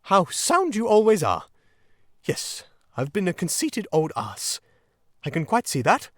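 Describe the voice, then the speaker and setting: A man voicing a boastful, excitable toad gentleman from a storybook, in a gushing, plummy, melodramatic manner. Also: high-pitched, a little slow, no room sound, studio quality, voice pushed up, exaggerated voice. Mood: gushing, contrite. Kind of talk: audiobook character acting.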